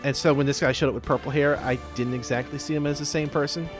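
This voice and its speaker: A man's voice: mid-range voice, steady tone, quick pace, faint music behind. A man hosting a game playthrough, chatting casually in a joking, playful way. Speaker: gaming video host.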